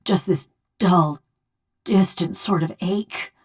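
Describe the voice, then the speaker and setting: A woman's voice: fairly low pitch, slow, husky, heavily acted. An actress playing a bitter, vengeful female killer trapped in a metal body, speaking folksy rustic game dialogue in a wry, brooding way. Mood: grim, brooding. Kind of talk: scripted video game character dialogue.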